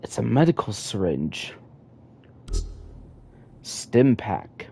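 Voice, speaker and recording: A man streaming a game, chatting casually and reading in-game notes aloud in a relaxed way. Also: lowish voice, very slow, vocal fry, husky. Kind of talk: casual gameplay commentary.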